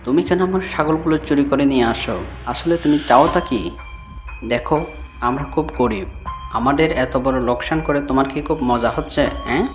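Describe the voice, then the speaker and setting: A man's voice: mid-range voice, moderate pace, natural voice. Man voicing an earnest cartoon father fox. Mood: pleading. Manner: earnest, pleading. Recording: faint music behind.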